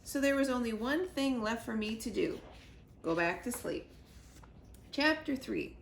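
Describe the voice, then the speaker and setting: A woman librarian reading a children's chapter book aloud, lively, jumping up in pitch for the characters. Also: measured pace, creaky, roomy sound, slight background noise. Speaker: school librarian. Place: school library.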